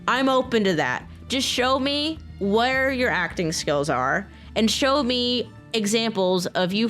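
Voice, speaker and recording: A woman talking casually and earnestly to her viewers, excited and informal in manner, as a video creator. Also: medium pitch. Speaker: video creator and game modder. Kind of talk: casual, personal talk to viewers.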